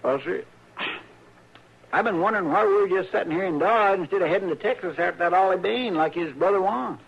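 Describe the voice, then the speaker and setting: A man's voice: sits high, brisk, vocal fry, husky, heavily acted. An actor playing a loyal, fretful frontier deputy man who talks in a folksy, exclaiming, polite country way, in an old radio drama. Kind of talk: 1950s radio drama acting. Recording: old radio broadcast, dull and hissy.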